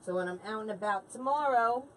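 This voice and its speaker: A woman's voice: on the high side, fairly quick, distant mic, slight background noise, poor audio. A woman in her late forties chatting casually and informally as she hosts a craft livestream, bantering with viewers and a helper. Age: late 40s. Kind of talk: casual live chat while crafting.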